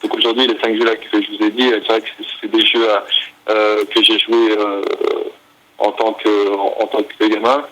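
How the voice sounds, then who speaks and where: A young adult man speaking politely and haltingly on a phone call, apologising and repeating himself as he negotiates a purchase. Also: fairly low pitch, little pitch movement. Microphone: telephone. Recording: telephone line with faint noise.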